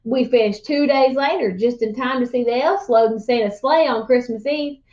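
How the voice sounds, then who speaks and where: Woman reading as a folksy old storyteller, high-pitched. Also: normal speed, darkish tone, highs cut off, natural voice. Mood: wondrous, animated. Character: folksy old man spinning boastful tall tales. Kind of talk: children's storybook read-aloud.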